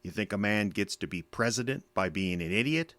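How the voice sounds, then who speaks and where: An actor with an American accent playing a bitter, defensive middle-aged army veteran in an audio drama, gruff, sardonic and curt. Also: male voice, lowish voice, animated, a little fast, fairly bright tone, no room sound. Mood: bitter, argumentative.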